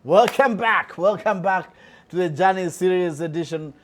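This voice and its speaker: A Kenyan man hosting a talk show, jovial and quick to laugh in casual chat with his guest. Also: sits high, a little hiss or hum.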